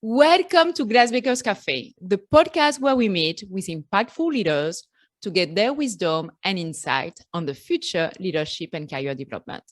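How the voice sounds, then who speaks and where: A woman's voice: mid-range voice, expressive, normal speed, no room sound, clean studio sound. A woman hosting a podcast, reading an upbeat scripted introduction and asking interview questions. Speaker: podcast host.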